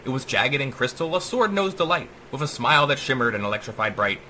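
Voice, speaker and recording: A man's voice: medium pitch, sing-song, fast talker, pushed and bright, a little hiss or hum. A man giving a dramatic, theatrical audiobook narration of horror verse, with a sing-song rhyming cadence. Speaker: author reading own story.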